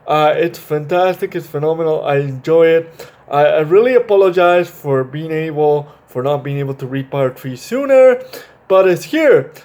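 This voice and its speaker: A man hosting a children's story read-aloud, chatting to young viewers in a halting way and often correcting himself. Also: fairly high pitch, animated, darkish tone. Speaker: storytime video host reading a children's book.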